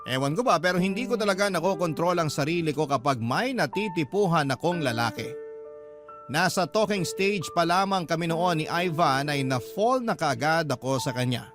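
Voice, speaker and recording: A man's voice: on the high side, expressive, moderate pace. A man narrating a scripted first-person story as a radio storyteller reading out a letter. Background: background music.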